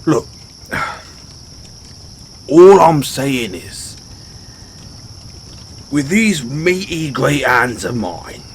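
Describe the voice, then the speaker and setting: A man's voice: medium pitch, a little hiss or hum, exaggerated voice. A man playing a big, brash orc barbarian in a fantasy audio roleplay, speaking colloquially straight to the listener. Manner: colloquial, halting when defensive. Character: big, brash male orc barbarian, rambunctious but sheepish. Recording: nature ambience with crickets behind. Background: outdoor night ambience, crickets.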